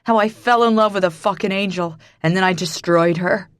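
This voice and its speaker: A woman narrator voicing a grown man who is furious and heartbroken, shouting and cursing. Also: medium pitch, brisk, no room sound, close to an everyday voice. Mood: anguished. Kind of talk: audiobook character voice.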